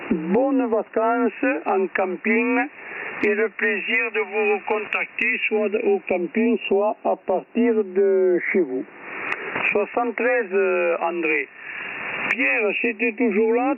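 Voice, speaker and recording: Older man, amateur radio enthusiast, high-pitched and unhurried, polite, heard over narrow noisy shortwave. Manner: courteous, spells callsigns phonetically. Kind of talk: casual ham radio exchange.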